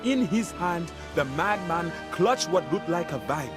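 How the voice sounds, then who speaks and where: A man's voice: a little high, lively intonation, measured pace. A man playing a grim, embittered city guard in a fantasy video game, speaking in a brooding, earnest, dramatic way. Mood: grave, urgent. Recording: game music behind.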